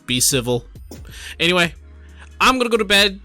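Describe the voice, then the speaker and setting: A man's voice: high voice, sing-song, normal speed, pushed and bright, faint music behind. A tipsy man giving casual, rambling reaction commentary as a streamer, exclaiming and addressing viewers directly. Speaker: streamer and gaming video host.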